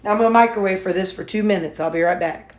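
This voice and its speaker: A woman's voice: mid-range voice, fast, a little mellow. A woman chatting casually while she cooks in her home kitchen for a vlog. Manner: chatty, folksy, talks while cooking. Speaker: vlogger. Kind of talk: casual cooking vlog chat. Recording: echoey kitchen with faint noise.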